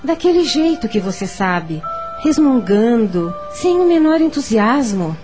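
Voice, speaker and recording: A woman's voice: fairly high pitch, big pitch swings, measured pace, music in the background. An actress playing an anxious, long-suffering middle-aged mother in a radio drama, fretful and lamenting. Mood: worried, weary.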